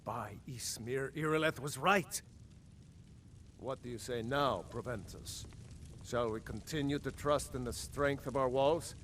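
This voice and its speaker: An actor playing a proud, decisive lord in a fantasy video game, speaking with declamatory authority. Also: male voice, mid-range voice, a little slow, fairly bright tone, a little hiss or hum, lightly acted. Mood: urgent questioning. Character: commanding male Nordic lord, proud, decisive, protective. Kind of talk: video game character dialogue.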